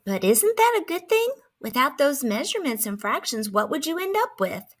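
A woman's voice: high-pitched, big pitch swings, moderate pace, pitched above the performer's own voice. A woman voicing a curious, cheerful alien who patiently explains math in a children's storybook. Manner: inquisitive, encouraging, explanatory.

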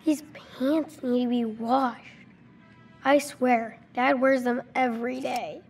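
A woman's voice: a little high, fairly even intonation, takes it slowly, a little mellow, husky, faint music behind, heavily acted. A woman voicing an imaginative, hesitant ten-year-old boy who mutters playfully to himself in a video game. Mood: playful musing.

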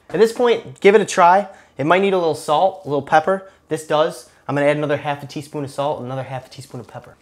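Casual male cooking host, 30s, jokey and relaxed, slightly high voice, home kitchen. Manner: laid-back, jokey, talks to camera while cooking.

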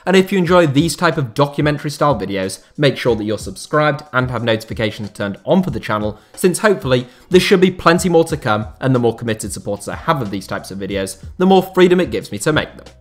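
A man, the host of a channel, reading a scripted documentary narration in a measured, even storytelling manner on a close voice-over mic. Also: medium pitch. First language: not Bulgarian.

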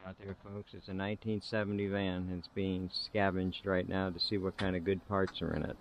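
A man's voice: deep voice, flat delivery, normal speed, background noise. A middle-aged American man giving casual, wry, folksy walking-tour commentary as a vlogger on a handheld camera outdoors. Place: outdoors in an overgrown field. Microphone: handheld action camera.

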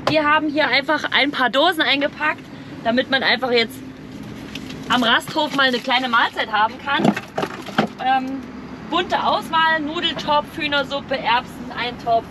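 A woman talks informally as a camping vlogger. She explains things in a chatty, everyday way, with clear outdoor and vehicle noise around her voice. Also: high voice, measured pace. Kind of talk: casual vlog narration.

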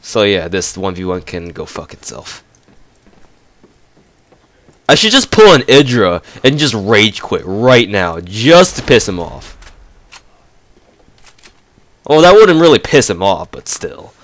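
A man's voice: fairly low pitch, very animated, measured pace, fairly bright tone. A young man giving casual, profane gameplay commentary, ranting and mocking while congested from a cold. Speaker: gamer commentator.

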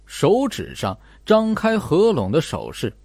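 A man reading expressive scripted narration as an audiobook narrator. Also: sits high, a little slow, gravelly, dry recording.

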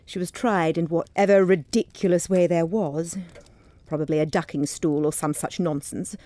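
A woman's voice: fairly low pitch, steady tone, normal speed, mellow, natural voice. A woman playing a wry local woman who tells a witch legend in an ominous storytelling way in a radio drama.

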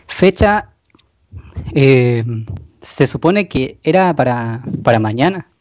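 A man's voice: mid-range voice, animated, takes it slowly, a little mellow, no echo, studio quality. Hesitant Chilean man faking a problem. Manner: hesitant, stalling with fillers. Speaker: prank caller. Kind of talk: prank phone call.

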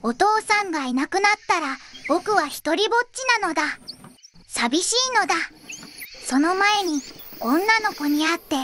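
A woman's voice: extremely high pitch, lively intonation, moderate pace, pushed and bright, a little hiss or hum, heavily acted. A synthetic female voice playing a small, earnest, lonely but determined boy creature in an audio fairy tale, cutesy and stilted. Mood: sad, lonely. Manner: cutesy, stilted, ends sentences with a verbal tic.

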